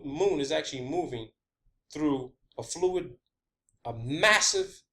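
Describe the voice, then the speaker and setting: A man delivering an informal, rambling science-theory monologue, emphatic and sweary, often repeating his phrases. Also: high-pitched. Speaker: online video host.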